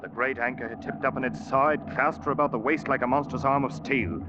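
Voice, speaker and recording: A man's voice: medium pitch, steady tone, brisk, background noise, natural voice. An actor playing a grave, loyal ship's officer telling his story dramatically in an old-time radio drama. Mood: tense narration. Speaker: radio actor, first-person narrator. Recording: muffled vintage radio recording.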